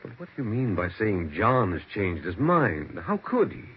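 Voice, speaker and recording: Formal, doubting lawyer, low voice, businesslike, vintage radio thriller, dull recording. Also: male voice, sing-song, moderate pace, slightly rough. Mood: incredulous. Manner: businesslike, incredulous. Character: skeptical, formal family lawyer, male. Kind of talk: 1940s radio mystery acting. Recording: muffled, hissy 1940s radio recording.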